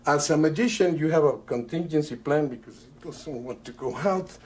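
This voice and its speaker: A middle-aged man from Italy, a magician doing live patter for a rope trick, talking in a chatty, halting way with many fillers. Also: on the high side, darkish tone. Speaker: magician guest.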